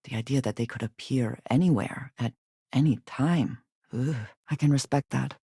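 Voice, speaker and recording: A woman's voice: extremely low pitch, mellow, breathy edge, no room sound, exaggerated voice. Guarded, formal space adventurer woman, very dry sound. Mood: earnest, guarded. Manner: formal, measured diction without contractions. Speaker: video game voice actress. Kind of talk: scripted video game character dialogue. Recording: very dry, close studio recording.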